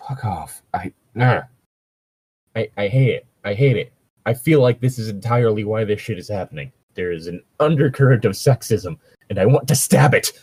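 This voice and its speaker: A man's voice: on the low side, animated, moderate pace, darkish tone, husky, no room sound. A man co-hosting a casual, irreverent read-aloud of fan fiction, bantering crudely and swearing freely between lines. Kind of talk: casual banter and mock dramatic reading.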